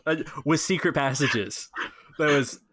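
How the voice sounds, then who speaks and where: A man's voice: fairly high pitch, a little slow, fairly bright tone. A man acting as game master, narrating an improvised tabletop game in a conversational, explanatory way. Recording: music playing behind the voice. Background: music.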